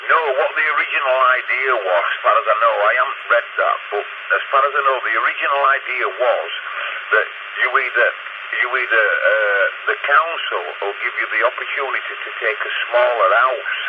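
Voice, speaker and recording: A man's voice: mid-range voice, fairly quick. Blunt Northern English man on noisy CB radio. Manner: blunt, sweary, opinionated. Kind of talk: casual radio chat. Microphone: CB radio handset. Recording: tinny CB radio, heavy noise.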